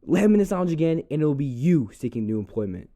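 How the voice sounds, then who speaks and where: A man playing a haughty, angry gentleman employer in an audio drama, imperious and snapping. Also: on the high side, big pitch swings, fast talker.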